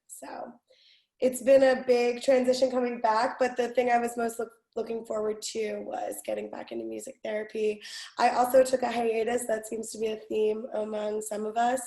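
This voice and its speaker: A young woman, a music therapist, answering interview questions over a video call in a chatty, relaxed way, trailing off softly at the ends of phrases. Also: mid-range voice, vocal fry. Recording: roomy echo.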